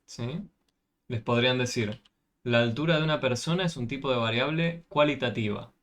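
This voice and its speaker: A man's voice: medium pitch, moderate pace, a bit bright. A man tutoring a math class over a video call, patiently explaining and often checking with questions.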